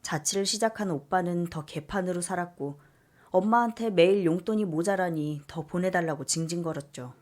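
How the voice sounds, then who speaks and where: A woman reading a listener's life story aloud as scripted narration, calm and measured. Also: fairly low pitch. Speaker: story reader.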